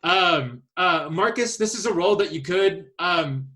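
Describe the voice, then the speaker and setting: A man co-hosting a podcast, talking casually and haltingly as he thinks his way through his picks aloud. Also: high-pitched, expressive, pushed and bright. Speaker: podcast host. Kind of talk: casual chat, presenting a list of casting picks.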